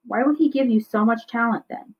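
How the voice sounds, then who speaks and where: Lady reader doing a fed-up protective mom from a kids book, higher voice, bit muffled. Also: fairly quick, lightly acted. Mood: concerned. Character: exasperated, protective mother in a family story. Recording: slightly dull, lo-fi recording.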